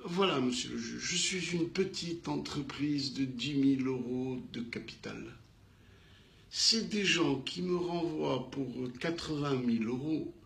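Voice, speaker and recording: A man with a North African French accent telling a business anecdote in an animated way, acting out the dialogue with emphatic repetitions. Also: mid-range voice, unhurried, creaky, reverberant. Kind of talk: animated storytelling.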